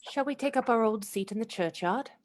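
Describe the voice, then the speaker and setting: A woman's voice: on the high side, fairly quick, lightly acted. A woman acting a dreamy young Victorian lady in a radio play, airy and wistful as if under a spell. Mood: dreamy, distracted.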